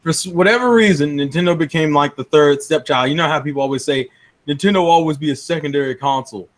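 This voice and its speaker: A man's voice: a little high, fast talker. A man co-hosting a podcast with casual, opinionated banter, arguing his points bluntly and with swearing. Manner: blunt, argumentative, profane. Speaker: podcast co-host.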